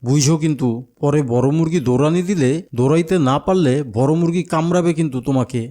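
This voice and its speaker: A man's voice: a little high, fast, mellow, close to an everyday voice. A man voicing a friendly, patient older companion to a child in a cartoon, with a Bangladeshi accent. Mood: persuading. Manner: patient, coaxing, explanatory. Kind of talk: cartoon dialogue.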